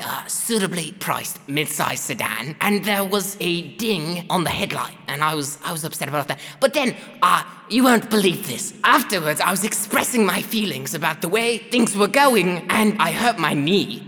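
A woman playing a dejected, rambling pastor who complains haltingly and deadpan in a comedic audio drama. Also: fairly low pitch. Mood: glum, halting. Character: dejected, rambling pastor airing petty personal woes. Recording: long hall reverb, faint music.

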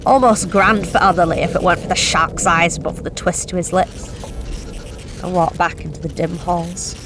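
A woman's voice: fairly low pitch, fairly even intonation, normal speed, over music, close to an everyday voice, poor audio. An actress playing a nervous young delivery driver, telling her story in a tense, confessional first-person monologue with blunt swearing. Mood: uneasy. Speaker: narrating actor.

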